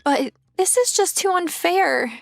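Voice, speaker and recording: A woman's voice: very high voice, lively intonation, a little slow, gravelly, no echo, soft background music, full character voice. A woman playing a very tall, insecure young woman turned pro basketball player, narrating her own story in an anime-style dub. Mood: despairing.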